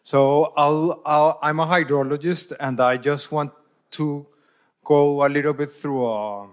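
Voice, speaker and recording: A man's voice: fairly high pitch, steady tone, a little slow. A man from Colombia, giving a conference presentation as a hydrologist and often repeating words as he searches for phrasing.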